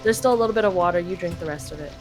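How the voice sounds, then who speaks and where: A woman's voice: lowish voice, rapid-fire, over music, low-quality recording. A young woman from Canada, talking casually and swearing freely as she translates game text aloud for a friend over a call. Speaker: live translator for a friend's playthrough.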